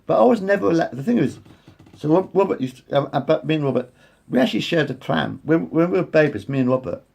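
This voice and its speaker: Gravelly raspy guy almost fifty, talking into his phone, keeps circling back and correcting himself. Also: a little high, expressive, fast, a little mellow. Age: 40s. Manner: repetitive, meandering, self-correcting. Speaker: vlogger. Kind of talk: rambling casual monologue to camera. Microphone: phone front camera.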